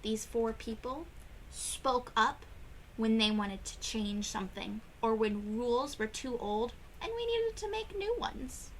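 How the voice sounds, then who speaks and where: A woman reading a picture book aloud to young children, with expressive emphasis and questions to her listeners. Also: a little high, a little slow, slight background noise, rough recording. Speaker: children's read-aloud host.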